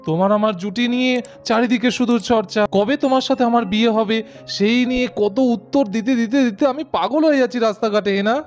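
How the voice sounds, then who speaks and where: A performer voicing a sweet-talking young fiancé in a doll cartoon, teasing and affectionate. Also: male voice, very high voice, quick pace, soft background music.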